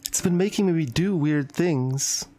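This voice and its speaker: A woman playing a shy, anxious and intense high school girl in a visual novel, speaking in a hesitant, confiding way. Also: a little slow, warm tone. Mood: troubled, confessional. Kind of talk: dramatic visual novel voice acting. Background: background music.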